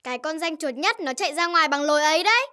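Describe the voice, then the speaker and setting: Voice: high voice, lively intonation, rapid-fire, bright, forceful tone. An actor voicing a cocky, street-smart young stray cat in a cartoon, talking in a teasing, bossy, confident way. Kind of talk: animated cartoon dubbing. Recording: faint music behind the voice.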